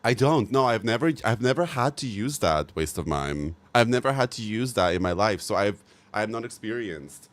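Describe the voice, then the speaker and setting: A man streaming live, chatting casually with viewers in an animated way, swearing freely and laughing often. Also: on the low side, moderate pace.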